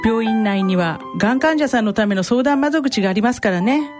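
A woman playing a measured, matter-of-fact hospital doctor in an amateur radio drama. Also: fairly high pitch, expressive, lightly acted. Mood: calm, serious. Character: hospital doctor calmly breaking bad news. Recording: music behind the voice.

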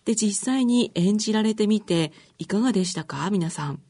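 A woman's voice: mid-range voice, expressive, moderate pace, mellow. A woman hosting a radio programme, politely interviewing and presenting in a conversational way. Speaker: radio show host.

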